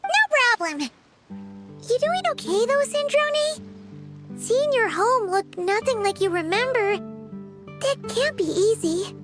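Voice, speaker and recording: A woman voicing a tiny, perky, caring fairy companion in a video game, with a squeaky childlike voice and chirpy manner. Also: expressive, takes it slowly, long pauses, music in the background, heavily acted. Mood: concerned, friendly. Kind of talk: English video game voice acting.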